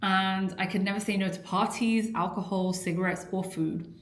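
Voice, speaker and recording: A woman's voice: mid-range voice, steady tone, normal speed. A young adult woman from an Iraqi family, a weight-loss coach talking candidly and earnestly to camera in a personal vlog. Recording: echoey room.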